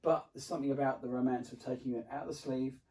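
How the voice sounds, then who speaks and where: Fast-talking British man, distant from the mic. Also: medium pitch, steady tone, warm tone. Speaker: interviewer, vintage hi-fi dealer. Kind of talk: casual chat.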